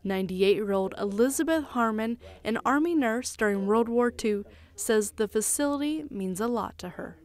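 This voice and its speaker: A woman in her forties, a television reporter, delivering a scripted news report in a clear, measured way. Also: on the high side, lively intonation, a little mellow. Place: television studio.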